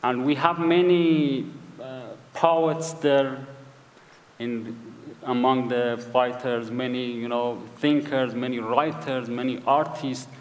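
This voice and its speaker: A man with a Kurdish accent talks slowly and haltingly in English, often pausing to find the right word and circling back. His voice sits at an ordinary mid pitch. It carries the long echo of a large hall at a live event. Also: fairly even intonation. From Iranian Kurdistan. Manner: hesitant, searching for English words, repeats himself. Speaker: author guest. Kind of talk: conversational interview on stage.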